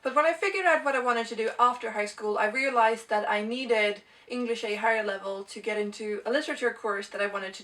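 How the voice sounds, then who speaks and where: Young woman, Swedish native speaker, fairly high voice, earnest chatty vlog talk, mic a little far off. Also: fairly bright tone. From Sweden. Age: young adult. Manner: earnest and chatty, shares study advice. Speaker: vlogger. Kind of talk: casual talk to camera.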